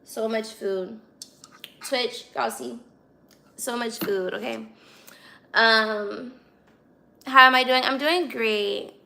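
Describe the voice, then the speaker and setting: Raspy young American woman, casual livestreamer. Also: on the high side, slow, long pauses, sharp tone. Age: 20s. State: slightly hoarse voice. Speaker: livestream host.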